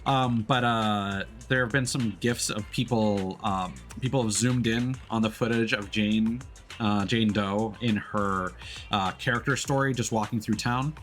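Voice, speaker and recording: A man's voice: fairly low pitch, unhurried, a bit bright, faint music behind. A man giving casual, rambling livestream commentary with joking asides while he plays a game. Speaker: game streamer.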